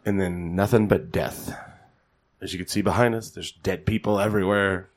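A man co-hosting a casual chat show, raspy from being ill, swearing freely and joking around. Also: sits low, fairly even intonation, moderate pace. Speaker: podcast co-host. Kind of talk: casual banter.

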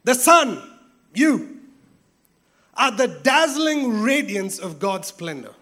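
Emphatic high-voiced male preacher. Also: sing-song, slow. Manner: emphatic, repeats phrases, drops to hushed tones. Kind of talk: sermon.

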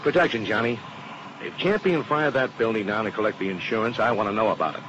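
A man's voice: fairly low pitch, fast, close to an everyday voice. A man playing an uneasy insurance agent. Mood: earnest. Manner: earnest, talkative, uneasy. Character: worried male insurance agent, earnest partner. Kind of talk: 1950s radio drama acting. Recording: muffled old radio broadcast with hiss.

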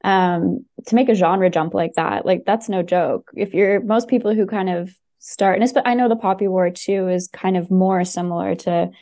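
A woman's voice: medium pitch, steady tone, moderate pace, few pauses, warm tone. A woman chatting casually and enthusiastically on a podcast, with many fillers and restarts. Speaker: podcast guest recommending books. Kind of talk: casual chat.

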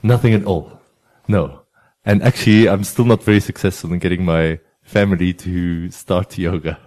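A man from Belgium, a yoga teacher talking about his life as an interview guest in a measured, thoughtful way. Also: sits low, steady tone, a little mellow. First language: Flemish. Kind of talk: relaxed interview storytelling.

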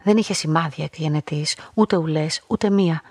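A woman's voice: low-pitched, darkish tone, slightly rough, no echo, studio quality. An actress playing a lonely, observant young woman who narrates her own story reflectively in a radio play. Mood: reflective, uneasy. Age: young adult. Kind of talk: first-person literary radio drama narration.

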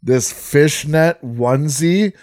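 A man's voice: on the high side, slow, a little mellow, no echo, clean studio sound. A man co-hosting a casual comedy podcast, laid-back and crude, swearing as he tells stories. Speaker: podcast co-host.

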